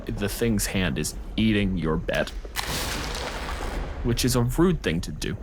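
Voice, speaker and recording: A man's voice: on the low side, expressive, slow pace, background noise. A man running a tabletop roleplaying game as game master, narrating in a casual, dryly wry way.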